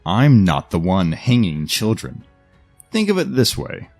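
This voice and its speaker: Man acting a low, brooding, menacing avenger. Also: huge pitch swings, measured pace, a little mellow, faint music behind, full character voice. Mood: sinister mockery. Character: vengeful man turned cold-blooded killer, bitter and menacing. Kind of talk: dramatized audio story acting.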